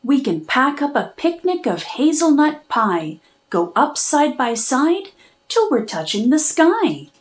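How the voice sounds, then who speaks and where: A woman's voice: sits high, sing-song, takes it slowly, pitched above the performer's own voice, full character voice. A woman voicing a big, friendly squirrel in a children's storybook, hearty and bellowing.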